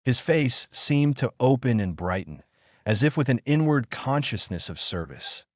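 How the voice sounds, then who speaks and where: A man's voice: medium pitch, big pitch swings, measured pace, dry recording, studio quality. A man reading a scripted noir audiobook as a dramatic narrator.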